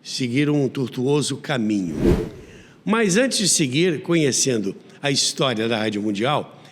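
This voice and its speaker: A man's voice: mid-range voice, very animated, a little slow, in a large hall, slight background noise. A Brazilian man hosting a history program, narrating in a conversational storyteller's way with wry, theatrical asides. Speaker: host of a radio history show.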